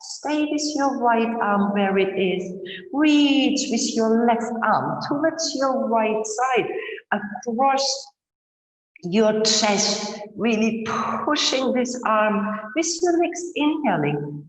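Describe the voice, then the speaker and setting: A woman leading guided breathing and gentle yoga as an instructor, in short, measured, soothing phrases with long pauses. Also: fairly high pitch, expressive. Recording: roomy echo, slightly muffled sound.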